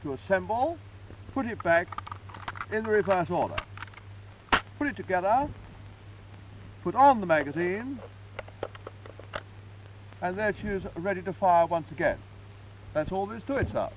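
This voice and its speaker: A man with a high voice gives a military demonstration as a sergeant-major. He is brisk, clipped and matter-of-fact, instructing step by step. The 1950s newsreel sound is dull, with faint noise. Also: expressive.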